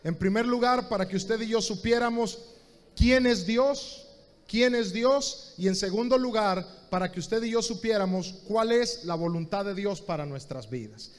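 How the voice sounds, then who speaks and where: A man's voice: high-pitched, unhurried, long reverb tail. A Honduran man preaching a sermon as pastor, speaking directly to the listener and repeating key phrases. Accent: Honduran Spanish.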